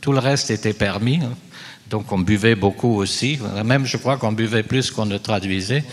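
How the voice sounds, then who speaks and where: A man's voice: lowish voice, moderate pace. Italian-born man talking in a reverberant hall. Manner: chatty, anecdotal, frequent tag "hein". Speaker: panelist, writer. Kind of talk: round-table discussion.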